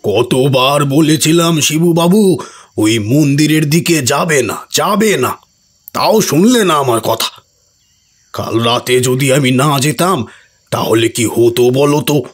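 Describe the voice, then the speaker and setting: A man acting as a worried village manservant in a horror audio drama, speaking gravely and earnestly. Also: medium pitch, animated, slow pace. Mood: agitated scolding. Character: Harihar, worried village manservant recounting an eerie night.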